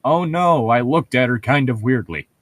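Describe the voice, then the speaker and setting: A man's voice: mid-range voice, lively intonation, moderate pace, no room sound, studio quality. A man co-hosting a casual read-aloud, throwing in sarcastic asides and laughing often. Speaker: co-host of a humorous read-aloud commentary.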